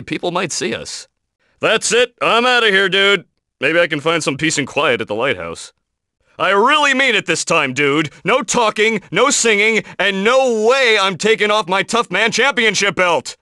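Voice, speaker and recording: An actor playing an arrogant, muscle-bound cartoon lobster with cocky, dismissive surfer-dude talk for a video game. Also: male voice, high voice, sharp tone, no room sound, clean studio sound. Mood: condescending, then annoyed. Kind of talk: animated video game character voice acting.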